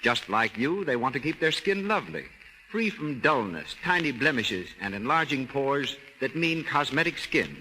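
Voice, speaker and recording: American guy doing old 30s radio ad copy, very animated, bright, slight background noise. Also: medium pitch, moderate pace. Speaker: radio announcer. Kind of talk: 1930s scripted advertising announcement. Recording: faintly noisy 1930s radio recording.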